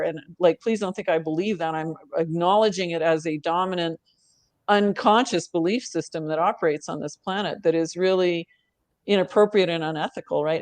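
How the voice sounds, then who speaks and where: A woman's voice: fairly low pitch, no room sound. Woman guest, easygoing and thoughtful, laughs often; close, dry recording. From Canada. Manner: warm, thoughtful, laughs often, frequent restarts. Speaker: podcast guest. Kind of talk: casual interview chat.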